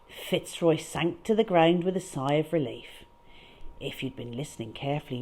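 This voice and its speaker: Lady doing storytime for kids, super lively pitch, dramatic and emphatic. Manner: expressive, emphatic, drawn-out key words. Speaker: children's storyteller. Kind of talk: dramatic read-aloud storytelling.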